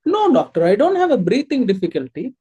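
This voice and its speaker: A worried woman in her forties, acted out by a man, answers her doctor earnestly and deferentially in a clinical role-play. The voice is soft and mellow, with little edge to it. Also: very high-pitched, very animated, fairly quick, slightly rough, pitched above the performer's own voice, heavily acted. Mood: anxious, earnest. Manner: repeatedly addresses the listener as doctor. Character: worried 43-year-old female patient with hoarse voice.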